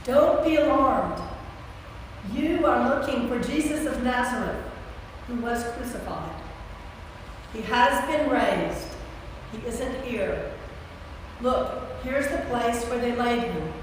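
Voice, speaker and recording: Woman as a slow, solemn robed messenger, echoing. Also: a little high, expressive. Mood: solemn, reassuring. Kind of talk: staged scripture reading. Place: church, live performance. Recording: very echoey church, distant mic, clear noise.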